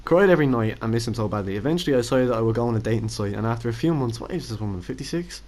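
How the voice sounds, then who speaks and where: A man's voice: mid-range voice, animated, very fast, darkish tone. A young man chats crudely on a homemade podcast. He giggles often and reads a letter aloud with mock seriousness. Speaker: podcast co-host. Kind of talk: casual crude banter.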